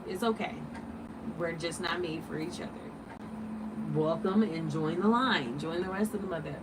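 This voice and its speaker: Woman in her late thirties, livestream host, animated and preachy, lowish voice, distant mic, vehicle noise behind. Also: normal speed. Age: 30s. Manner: animated, preachy, rhetorical questions. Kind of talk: casual livestream talk.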